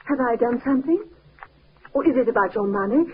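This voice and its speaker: A woman's voice: on the high side, expressive, fairly quick, close to an everyday voice. A woman playing a genteel young wife, proud and tender, in a 1950s radio drama, with polite, emphatic delivery. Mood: anxious, pleading. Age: young adult. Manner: polite, well-bred, emphatic. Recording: tinny, noisy 1950s radio recording.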